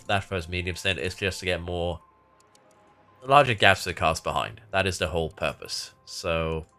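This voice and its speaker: A man with a congested, nasal voice giving casual, analytical commentary as the host of a gaming video, in a measured conversational way. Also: deep voice, pushed and bright. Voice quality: nasal, stuffy-nosed. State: stuffy nose from congestion.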